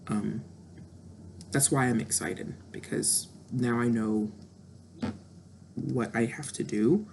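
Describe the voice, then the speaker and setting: A woman's voice: extremely low pitch, steady tone, slow, vocal fry, background noise. A woman in her thirties talking candidly and introspectively in a reflective personal monologue, with frequent fillers. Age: 30s. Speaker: vlogger.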